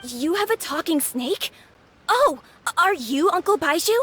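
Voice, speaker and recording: Earnest, high-voiced little boy. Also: lively intonation, measured pace, a bit bright, heavily acted. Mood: surprised. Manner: earnest, childlike. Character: worried young boy seeking his forgetful father. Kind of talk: video game voice acting. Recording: music behind the voice.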